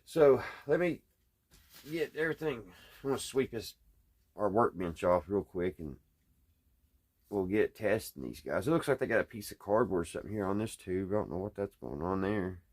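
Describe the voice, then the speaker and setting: Guy, folksy, just thinking out loud while he tinkers at the bench. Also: fairly low pitch, animated, normal speed. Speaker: hobbyist radio repairer, video host. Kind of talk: casual unscripted hands-on repair vlog. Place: indoors at a workbench.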